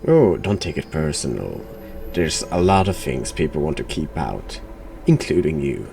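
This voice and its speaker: A man giving reactive gaming commentary in a gravelly voice, with dry, sarcastic, muttered replies. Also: rumbling low, sing-song, normal speed, mellow, faint music behind. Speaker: game streamer, virtual YouTuber.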